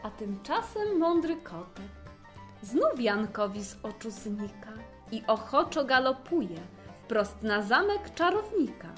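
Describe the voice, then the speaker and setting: A woman narrating a rhymed fairy tale in an expressive, theatrical storytelling manner. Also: a little high, takes it slowly, faint music behind.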